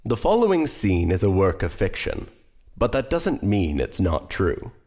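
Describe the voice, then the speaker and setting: Slow, low-voiced male drama narrator. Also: wildly swooping pitch, mellow. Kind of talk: scripted audio drama narration.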